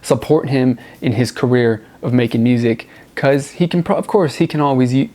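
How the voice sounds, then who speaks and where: A man's voice: mid-range voice, moderate pace, warm tone. A man hosting a music review show, talking casually and off the cuff to viewers, rambling and repeating words for emphasis.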